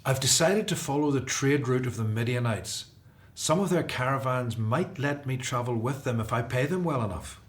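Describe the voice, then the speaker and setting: An actor playing an earnest, resolute fugitive prince of ancient Egypt in a radio drama. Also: male voice, medium pitch, expressive, moderate pace, lightly acted. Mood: earnest, determined.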